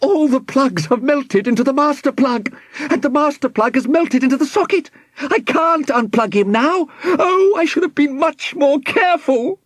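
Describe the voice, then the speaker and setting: A man's voice: very high voice, normal speed, no echo, pitched above the performer's own voice. A man voicing an anxious storybook professor who frets and wails in self-reproach. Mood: despairing.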